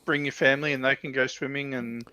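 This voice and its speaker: A man's voice: medium pitch, fairly even intonation, fast, sharp tone, clear, resonant tone, dry recording. An Australian man chatting casually on a hobby livestream about military vehicles.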